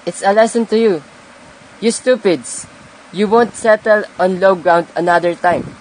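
An amateur actor playing a kindly, pious old peasant uncle in a radio play, folksy and gently chiding. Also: mid-range voice, unhurried, natural voice. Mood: instructive. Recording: noisy amateur home recording.

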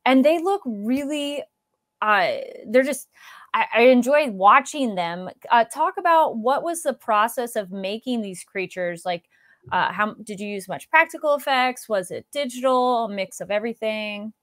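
A woman's voice: on the high side, animated, measured pace. A woman hosts an interview over a video call, asking her questions casually and chattily. Her voice is recorded dry and close, with no room echo around it. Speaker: interview host.

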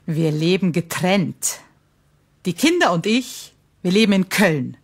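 An actress playing an adult woman introducing herself, speaking slowly and clearly for a textbook recording. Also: fairly low pitch, animated, no echo. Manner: clear, slow and careful.

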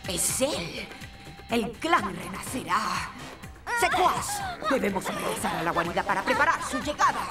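A woman's voice: medium pitch, sing-song, measured pace, over loud music. A woman voicing a haughty, menacing vampire villain in a Latin American Spanish cartoon dub, theatrical and scheming. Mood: triumphant. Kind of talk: dubbed cartoon acting.

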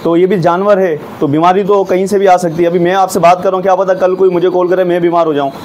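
Man, goat seller, quick talk, high voice. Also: level tone, darkish tone, a little hiss or hum. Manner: quick, reassuring replies, repeats words. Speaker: goat farm owner and seller. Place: outdoor goat farm.

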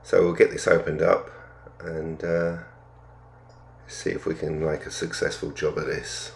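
An older man talking casually through a hobby vlog, explaining things in understated, hesitant, piecemeal phrases with a gravelly voice. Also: deep voice, level tone, measured pace, slight background noise. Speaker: amateur radio hobbyist vlogger.

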